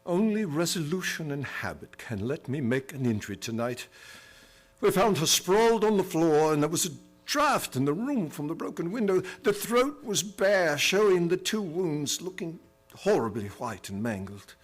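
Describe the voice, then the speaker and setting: Husky, grave, devoted gentleman diarist played by a man, radio play, very dynamic pitch. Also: sing-song, moderate pace. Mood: grave, troubled. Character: grave, devoted gentleman reading his private journal. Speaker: actor narrating from journals. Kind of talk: old-time radio drama acting.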